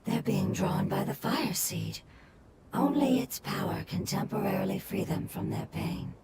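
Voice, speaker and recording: A calm game character who explains with quiet sorrow, her mid-pitched voice lively in contour with a gravelly fry at the edges. Loud game music plays underneath. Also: a little slow. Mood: sorrowful.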